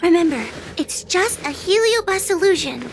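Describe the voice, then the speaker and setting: A woman's voice: very high voice, lively intonation, moderate pace, a little hiss or hum. A woman voicing a timid, earnest young fox-girl exorcist in an anime-style game, hesitant and stammering as she explains things. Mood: cautious. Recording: game music behind the voice.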